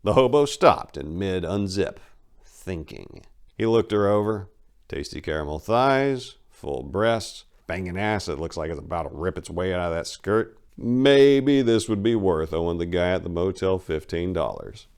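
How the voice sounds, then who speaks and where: A man with a low voice narrates crime fiction. He reads from a script in a dramatic style, as the storyteller. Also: expressive, measured pace, a little mellow, clear, resonant tone.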